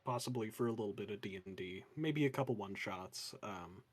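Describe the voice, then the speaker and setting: A man with a monotone voice, chatting casually about running tabletop games as a dungeon master. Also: lowish voice, brisk, slightly rough. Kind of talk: casual chat.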